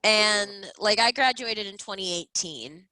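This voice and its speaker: Emphatic, bright-voiced young woman. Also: mid-range voice, animated, a little slow, no echo, low-quality recording. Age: early 20s. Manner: blunt, foul-mouthed, emphatic. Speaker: podcast co-host. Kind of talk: casual true-crime comedy chat.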